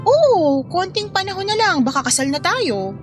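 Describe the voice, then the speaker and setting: A woman's voice: very high-pitched, big pitch swings, normal speed. An actress playing an affectionate, playful young woman in love in a Filipino radio drama. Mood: playful, excited. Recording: music bed under dialogue.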